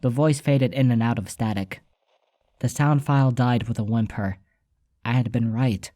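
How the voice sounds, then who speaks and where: A man's voice: mid-range voice, moderate pace, warm tone, no room sound, clean studio sound. A man reading scripted first-person story narration as an audiobook narrator.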